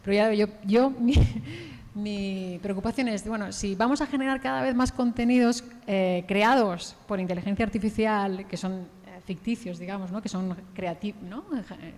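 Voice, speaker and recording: A woman's voice: medium pitch, a little mellow. Woman panelist in an echoing hall. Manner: halting, seeks agreement with frequent question tags. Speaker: journalist on a discussion panel. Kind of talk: spontaneous panel discussion. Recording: reverberant hall, faint background noise.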